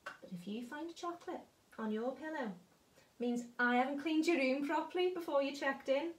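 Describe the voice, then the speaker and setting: A woman's voice: high-pitched, normal speed, close to an everyday voice. A woman acting a jaded, wistful hotel cleaner in a stage monologue, blunt, wry and conversational. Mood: sardonic. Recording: roomy, echoing space.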